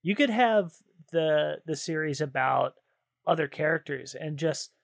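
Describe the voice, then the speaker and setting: A man's voice: on the high side, lively intonation, unhurried, vocal fry, no room sound, studio quality. A man chatting casually and enthusiastically as a podcast co-host, in informal slangy phrasing. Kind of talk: casual chat about games and films.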